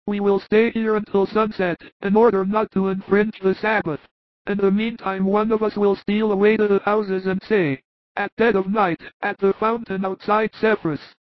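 A man voicing an eager, good-humored male apostle who talks in a lively, reassuring way. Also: sits high, moderate pace, no room sound, dull audio, voice pushed up, close to an everyday voice. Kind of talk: audiobook reading.

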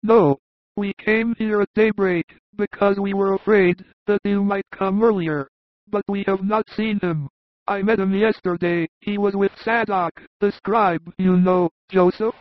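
A man's voice: sits high, steady tone, measured pace, no echo, highs cut off, pitched above the performer's own voice. A man voicing an indignant, talkative disciple of Jesus in a dramatised scripture audiobook, with an emphatic, complaining delivery. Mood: agitated, aggrieved.

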